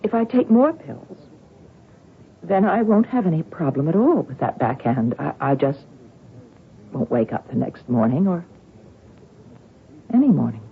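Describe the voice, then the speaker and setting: A despairing woman champion, worn out and sardonic. Her voice swings dramatically between flat bitterness and anguish. It comes through a narrow, tinny old radio broadcast. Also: sits low, measured pace, creaky, long pauses, a little hiss or hum, heavily acted. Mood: weary despair. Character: bitter, despairing, exhausted female tennis champion.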